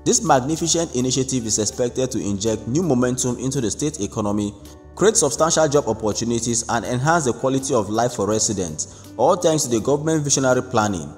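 A man narrating a scripted news report in a steady, even read-aloud delivery. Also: mid-range voice, fast. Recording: long reverb with faint background music.

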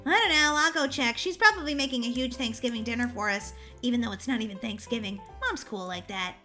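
A woman voicing an excitable, entitled young girl in a children's game roleplay, with an exaggerated, dramatic delivery. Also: a little high, brisk, faint music behind. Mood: casual, puzzled.

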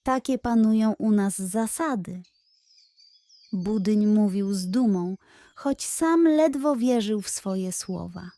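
A woman's voice: mid-range voice, lively intonation, slow, dark tone, no echo. A woman reading a scripted children's story as the narrator.

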